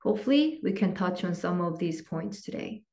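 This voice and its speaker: A woman moderating an online event, reading measured, formal opening remarks over slides. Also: fairly low pitch, lively intonation, a little mellow.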